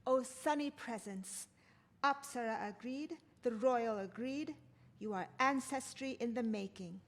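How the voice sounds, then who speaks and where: A woman's voice: a little high, a little slow. A woman reading the part of a lovelorn, regal queen in a poetic play, solemn and declamatory. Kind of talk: play table read with poetic monologues.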